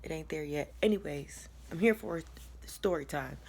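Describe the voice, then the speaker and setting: A young American woman with a deep, low-toned voice tells her personal story to camera in a casual, candid, colloquial and rambling way. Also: moderate pace, creaky, a little mellow, husky. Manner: candid, colloquial, rambling, chuckles as she talks. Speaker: vlogger sharing a personal testimony.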